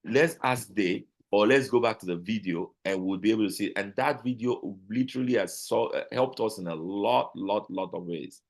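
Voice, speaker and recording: A man with a Nigerian accent, a guest talking casually in an interview, animated and full of restarts and repeated words. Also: lowish voice, normal speed, hardly any pauses. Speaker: guest, business owner.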